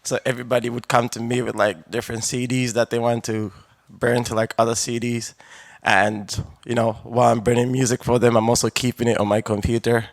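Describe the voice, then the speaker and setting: A young adult rapper from Zambia, giving a relaxed, rambling radio interview full of fillers and restarts. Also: medium pitch, fairly quick, no echo. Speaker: rapper and producer, interview guest.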